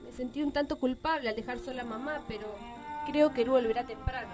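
A woman's voice: high voice, quick pace, over music, natural voice. A woman playing a nervous, romantic teenage girl talking with her sweetheart in a radio play. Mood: nervous, loving. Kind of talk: amateur radio play dialogue.

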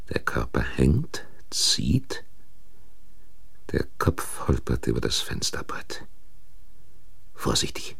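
An actor playing a brooding, intense man who confesses a crime in deliberate, husky radio-play narration. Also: extremely low pitch, no room sound, heavily acted. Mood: haunted, tense. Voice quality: gravelly, husky.

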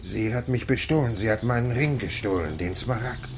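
An actor in a radio drama playing a dejected, wounded circus bear trainer who talks in a halting, confessional way. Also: male voice, medium pitch, noisy background, lightly acted. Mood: hurt, bitter.

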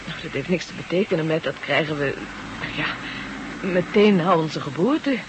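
An actress playing a calm, evasive doctor from a far past age in a radio drama. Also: female voice, low voice, normal speed, noisy background. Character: doctor from the distant past, adult woman, calm.

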